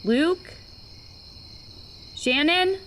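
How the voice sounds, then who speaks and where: A woman's voice: very high voice, drawn-out words, fairly bright tone, a little hiss or hum, full character voice. An actress playing an anxious, sarcastic young homebody woman in a horror comedy audio drama. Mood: annoyed, uneasy. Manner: jittery, sarcastic.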